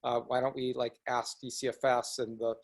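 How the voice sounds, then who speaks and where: A middle-aged man from the Chicago area, an executive director hosting a webinar over a video call, speaking earnestly and haltingly with frequent restarts. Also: medium pitch, little pitch movement, vocal fry. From Chicago area, United States. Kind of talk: presenting in a webinar.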